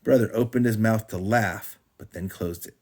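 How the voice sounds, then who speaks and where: A man reading a storybook aloud to a child as a father does at bedtime, in an animated storytelling style. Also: lowish voice, normal speed.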